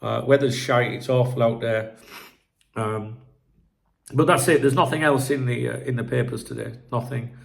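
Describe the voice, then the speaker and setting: A man's voice: medium pitch, steady tone, moderate pace, creaky. A man with a blocked nose hosting a casual football commentary video, rambling and frank, swearing freely. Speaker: football channel host.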